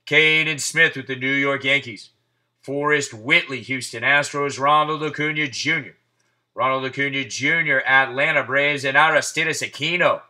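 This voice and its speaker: A man hosting a live card break, calling out players and teams in quick succession with hyped asides, his voice getting hoarse. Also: mid-range voice, steady tone, pushed and bright. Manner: rapid-fire name calling, hyped asides. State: losing his voice. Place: indoors at a table.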